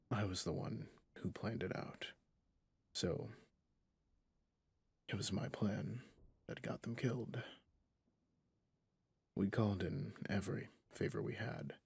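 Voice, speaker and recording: A man playing a stern, haunted, centuries-old vampire hunter in an ASMR roleplay, speaking with slow menace in a breathy, gravelly voice that often drops to a whisper. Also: low-pitched, a bit bright, no echo, exaggerated voice. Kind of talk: ASMR roleplay monologue to the listener.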